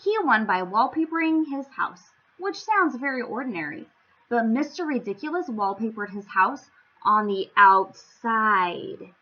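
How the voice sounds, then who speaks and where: A woman reading a storybook aloud to young children, with theatrical, playful emphasis and an expressive storytelling style. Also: a little high, a little slow, dull audio. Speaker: children's story-time reader.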